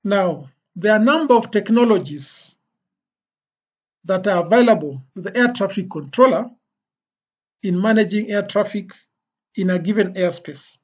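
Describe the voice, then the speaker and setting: Lo-fi muffled sound, instructor's lecture narration, medium pitch, deliberate phrasing, frequent pauses. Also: expressive. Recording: dull, lo-fi voice recording.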